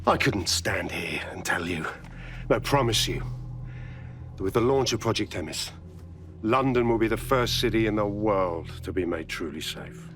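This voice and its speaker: An actor playing a ruthless, zealous security company boss in a video game, speaking in measured, emphatic speeches. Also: male voice, on the low side, lively intonation, a bit bright, slight background noise. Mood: grand, assured. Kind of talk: dramatic video game cutscene acting.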